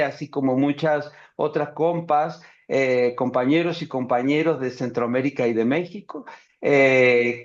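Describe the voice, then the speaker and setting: A man hosting an interview over a video call, speaking in a measured, courteous way. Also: medium pitch. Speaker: interview host. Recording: dull lo-fi call audio.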